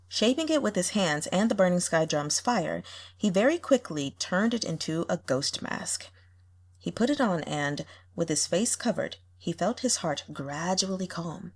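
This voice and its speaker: A woman reading a fantasy novel aloud as expressive scripted narration, with a slightly husky voice. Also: on the low side. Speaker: audiobook reader.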